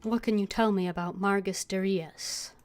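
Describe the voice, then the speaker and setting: A woman's voice: mid-range voice, fairly even intonation, moderate pace, darkish tone. A woman streamer playing a video game, reading dialogue aloud and muttering to herself.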